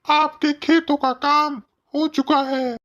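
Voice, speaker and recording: Woman doing a high-voiced, menacing cartoon ghost. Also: level tone, slow, fairly bright tone, no room sound, lo-fi sound. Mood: impatient, demanding. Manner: demanding, threatening. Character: menacing, impatient male ghost freed from a lamp. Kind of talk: cartoon story character acting.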